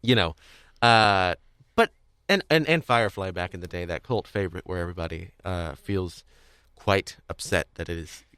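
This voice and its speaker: A man hosting a podcast in a casual interview style, rambling and halting as he repeats words while thinking aloud. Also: deep voice, a bit bright, clean tone, no room sound, clean studio sound. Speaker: podcast host. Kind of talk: casual interview chat about audio drama.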